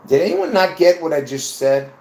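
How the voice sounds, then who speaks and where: A man from Lebanon hosting his own video, talking excitedly to viewers in a rambling, repetitive way with bursts of laughter. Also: a little high, normal speed, echoey room. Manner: excitable, repeats himself, laughs between points. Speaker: video host. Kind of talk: rambling casual monologue to viewers.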